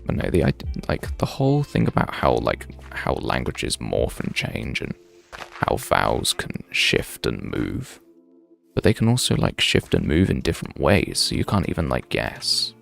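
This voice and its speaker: A man's voice: extremely low pitch, wildly swooping pitch, unhurried, creaky, a bit bright, faint music behind. A young man in his twenties, chatting casually and thinking aloud on a game livestream, drifting between tangents. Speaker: game streamer. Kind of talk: casual livestream chat while playing.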